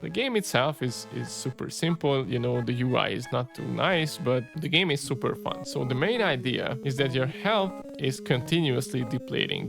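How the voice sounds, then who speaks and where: Gravelly Hungarian man chatting about games. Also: mid-range voice. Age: 30s. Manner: relaxed and chatty, peppers speech with "right?" and "you know". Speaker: game developer and channel host. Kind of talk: casual devlog narration over gameplay.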